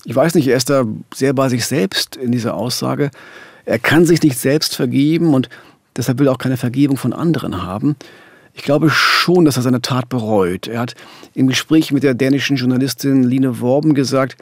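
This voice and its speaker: A middle-aged man hosts a true-crime podcast in with a somewhat husky voice. He explains things in a scripted narrating style. The studio sound is close and dry. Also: medium pitch, moderate pace, no room sound. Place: small dark podcast studio. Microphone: close studio microphone with headphones.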